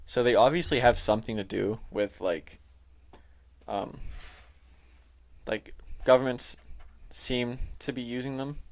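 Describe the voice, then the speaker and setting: A man explaining things casually as a podcast host, halting and informal, often saying "like". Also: mid-range voice. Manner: informal, halting, heavy use of "like". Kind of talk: casual explainer talk reading from notes. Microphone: close, touchy microphone.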